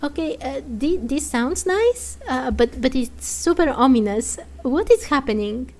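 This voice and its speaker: A woman voicing a small, naive, excitable fairy companion in a game, exclaiming and stammering out questions. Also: high voice, unhurried. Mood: flustered.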